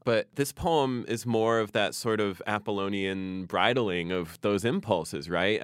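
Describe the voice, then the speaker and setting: A man's voice: fairly low pitch, smooth, dry recording, studio quality. A man whose first language is Farsi, a poet speaking reflectively as an interview guest and often checking in with "right?". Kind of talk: conversational interview.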